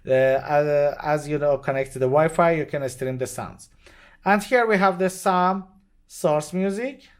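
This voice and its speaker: A man who is not a native English speaker gives an unscripted step-by-step product tutorial in short, simple, halting phrases. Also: on the high side, clean tone. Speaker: product demonstrator. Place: indoors at a demo bench with devices.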